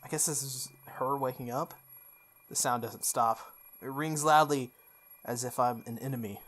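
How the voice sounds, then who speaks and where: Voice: medium pitch, fairly even intonation, normal speed, fairly bright tone. A game playthrough host expressively reading story text aloud, stumbling and correcting along the way, with off-the-cuff asides.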